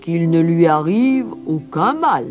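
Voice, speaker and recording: A woman's voice: fairly low pitch, animated, darkish tone, soft background music. Lady putting on a grumpy old mountain grandpa for a kids story, stern, really drawn out words. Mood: firm. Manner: stern, slow, emphatic. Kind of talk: children's storybook character acting.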